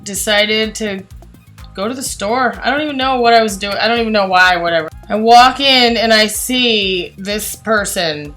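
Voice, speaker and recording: A woman's voice: mid-range voice, normal speed, a bit bright. American woman telling casual stories over music. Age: 40s. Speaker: co-host telling personal stories. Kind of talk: casual conversational storytelling.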